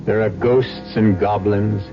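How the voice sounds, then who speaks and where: A man's voice: fairly low pitch, steady tone, slow, vocal fry, over music. A man hosting a 1970s radio drama, narrating in a grave, portentous, theatrical way. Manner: grave, portentous, rhetorical questions. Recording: dull lo-fi old radio broadcast.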